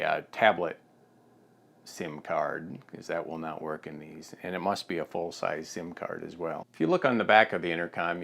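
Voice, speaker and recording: Low-pitched man, fifties, American accent, product demonstrator, plain explanatory delivery, lapel mic. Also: creaky, a bit bright. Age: 50s. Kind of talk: instructional product demonstration, talking to camera.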